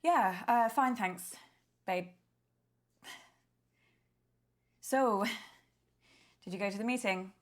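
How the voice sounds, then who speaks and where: An actress playing an awkward young family member, hesitant and self-doubting as she quietly probes for answers. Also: medium pitch, measured pace, close to an everyday voice. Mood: awkward, probing. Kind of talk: naturalistic screen drama dialogue.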